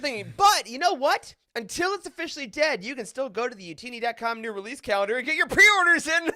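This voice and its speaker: A host of a fan roundtable, chatting casually in an animated, jokey way. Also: sits high, quick pace. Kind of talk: casual chat, hosting.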